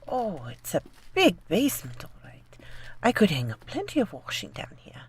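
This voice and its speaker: A woman's voice: mid-range voice, huge pitch swings, moderate pace, slightly rough, full character voice. A woman acting a meek, naive housekeeper in a horror radio play, deferential, hesitant and uneasy. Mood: eager, polite.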